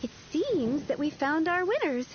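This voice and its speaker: A young official speaks with formal courtesy, as in a video game. The voice is high and animated. A faint noise sits behind the slightly dull recording. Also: female voice, moderate pace. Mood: pleased, announcing. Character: polite, bright young female contest official and secretary.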